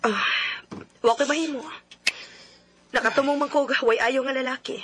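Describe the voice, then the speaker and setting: A woman's voice: high-pitched, steady tone, moderate pace, a bit bright, breathy edge. An actress playing a regretful, plaintive woman longing for an old love in a Filipino Cebuano radio drama. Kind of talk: sentimental radio drama acting. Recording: radio drama with music bed.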